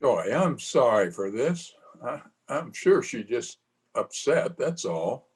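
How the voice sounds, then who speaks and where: Man playing a halting, expressive kindly father. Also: medium pitch, background noise. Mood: gentle, puzzled. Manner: hesitant, halting. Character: kindly, bewildered middle-aged father. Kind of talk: old-time radio drama acting.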